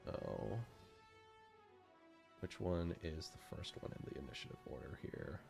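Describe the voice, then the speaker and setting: A man's voice: rumbling low, very animated, unhurried, vocal fry, over music. A man playing a tabletop game, chatting casually, repeating short phrases and muttering sums aloud.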